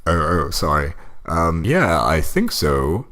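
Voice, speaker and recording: Man acting as a snooty old gentleman, low voice, very slow, swooping intonation, pompous comedic storybook reading. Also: sing-song, exaggerated voice. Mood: dramatic reading. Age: older. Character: snooty, grumbling old husband reading aloud. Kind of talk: comedic dramatic reading of an internet horror story.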